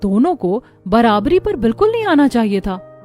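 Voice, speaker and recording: A woman's voice: a little high, very animated, normal speed, darkish tone, faint music behind. A woman voicing stubborn, indignant young daughters-in-law in a family story cartoon, with an emphatic, complaining delivery. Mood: insistent. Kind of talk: Hindi moral-story cartoon dialogue.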